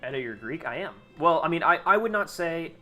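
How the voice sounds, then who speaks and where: A man's voice: fairly high pitch, animated, fairly quick, pushed and bright, faint music behind. A young American man streaming a game, chatting casually and rambling with lots of fillers and restarts. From United States. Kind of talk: casual chat while gaming.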